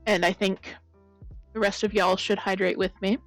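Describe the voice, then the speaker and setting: A woman chatting casually with viewers as the host of a crafting stream, her voice a bit croaky. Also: medium pitch, level tone, normal speed, no room sound, soft background music. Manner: chatty, rambling, greets viewers by name. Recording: loud blown-out mic.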